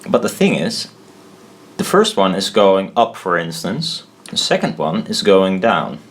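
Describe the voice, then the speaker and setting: A man giving an informal technical tutorial as he draws, halting, thinking aloud and correcting himself as he goes. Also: low-pitched, big pitch swings. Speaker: presenter of a hobbyist explainer video.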